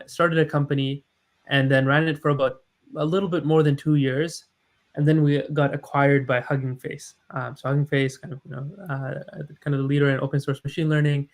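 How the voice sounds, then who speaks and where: A man in his thirties, raised in the United States, talks through his story as a live stream guest. He speaks modestly and thoughtfully, with plenty of fillers and small restarts. His pitch sits in an ordinary middle range. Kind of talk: conversational interview.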